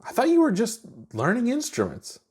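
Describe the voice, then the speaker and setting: Audio story role: young monster daughter played by a man, raised pitch, folksy, caring, playful teasing. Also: wildly swooping pitch, moderate pace, darkish tone, slightly rough, heavily acted. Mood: teasing concern. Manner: folksy, teasing, says y'all.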